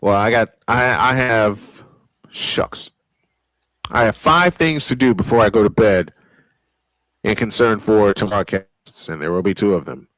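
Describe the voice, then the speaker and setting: A man's voice: on the low side, measured pace, fairly bright tone, no echo, low-quality recording. A man announcing a baseball game live, mixing old-school play-by-play with casual asides. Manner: old-school sportscaster delivery.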